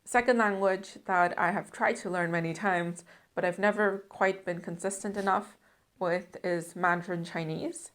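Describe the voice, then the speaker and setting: A woman's voice: lowish voice, fairly even intonation, a bit bright. A young woman from the Netherlands talking to camera about her language goals, earnest and reflective. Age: young adult. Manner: earnest, reflective, measured with some fillers. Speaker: language learning vlogger. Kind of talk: casual talk to camera.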